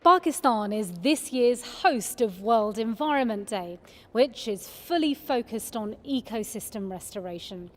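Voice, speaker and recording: A woman presenting a formal event from a script, introducing in measured official language. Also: fairly high pitch, lively intonation. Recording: long reverberant hall echo.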